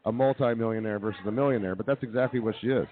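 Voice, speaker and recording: A man's voice: fairly low pitch, very fast. A man hosting a comedy radio show, trading banter in a talk-show style. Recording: tinny phone-like band-limited sound.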